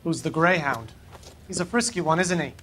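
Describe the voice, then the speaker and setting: An actor playing a threatening, foul-mouthed gangster boss with a sneer, in a crime video game. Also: male voice, fairly high pitch, moderate pace, bright, forceful tone, slight background noise. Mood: mocking.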